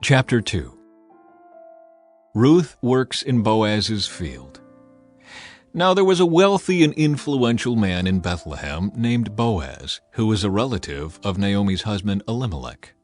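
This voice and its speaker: A man's voice: on the low side, sing-song, unhurried, soft background music. A man giving a scripted, dramatic narration of a scripture audiobook.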